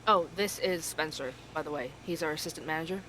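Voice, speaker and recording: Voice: mid-range voice, fast, close to an everyday voice. An actor as an inquisitive podcast host, noisy background. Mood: musing, curious. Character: Sebastian, inquisitive young podcast host investigating mysteries. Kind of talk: audio drama dialogue and narration.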